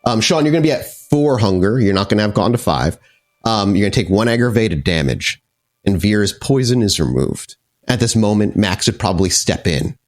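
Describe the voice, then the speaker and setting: A man narrating a tabletop vampire game as its game master, in a dramatic, halting storytelling manner. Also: on the low side, lively intonation.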